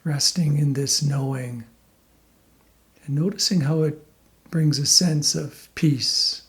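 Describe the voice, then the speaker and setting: A male meditation teacher speaks in a voice pitched a little higher than most men's. His delivery is very slow and calm, laid out in short phrases with generous pauses, as he guides the listener through a meditation.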